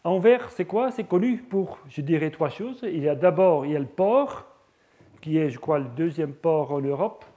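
A man's voice: a little high, lively intonation, normal speed, dark tone. A middle-aged man with a Flemish accent, a native Dutch speaker giving a science lecture in French, hesitant, with frequent fillers and restarts. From Belgium (Flanders).

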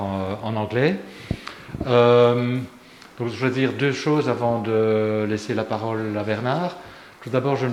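A man's voice: medium pitch, a little hiss or hum. A man introducing a seminar speaker in a formal, hesitant way, with frequent fillers. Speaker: course lecturer introducing a seminar.

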